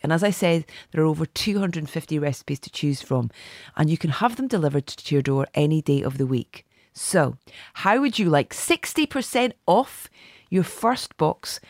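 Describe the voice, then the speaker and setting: A woman hosting a podcast, chatty and enthusiastic, with a slightly croaky voice as she opens the show and reads an ad. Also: deep voice, dry recording, clean studio sound. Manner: chatty and enthusiastic, with filler restarts. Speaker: podcast host. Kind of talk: podcast intro and ad read.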